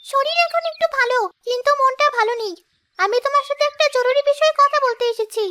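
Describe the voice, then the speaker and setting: A woman's voice: extremely high pitch, quick pace, pushed and bright, a little hiss or hum. A woman voicing an earnest young schoolgirl in a cartoon story, with an emotive, scripted delivery. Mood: earnest, pleading. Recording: music behind the voice.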